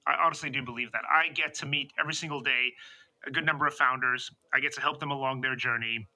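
A man's voice: mid-range voice, steady tone, fast talker, sharp tone, rough recording. A middle-aged American man, a venture investor, talking enthusiastically and expansively as a podcast guest. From United States. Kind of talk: conversational interview.

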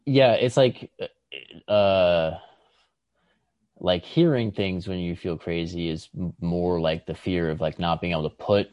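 Deep-voiced man, halting and thoughtful, close and dry. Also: fairly even intonation, dry recording, dull audio. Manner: halting, searching for words, circles back. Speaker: podcast co-host. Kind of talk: casual reflective discussion.

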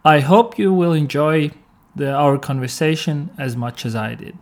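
A man's voice: fairly high pitch, normal speed. A man hosting an interview podcast, presenting and talking with his guest. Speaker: podcast host.

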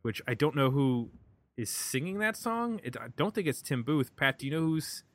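A man with an American accent casually discussing an album as a podcast host, halting and reflective as he thinks aloud. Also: on the high side, very animated, no room sound. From United States.

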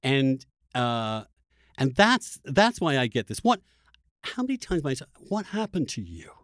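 A man's voice: mid-range voice, big pitch swings, unhurried, a bit bright, no room sound. Emphatic male podcast host, close dry sound. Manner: emphatic, rhetorical, repeats phrases for stress. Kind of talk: animated political discussion.

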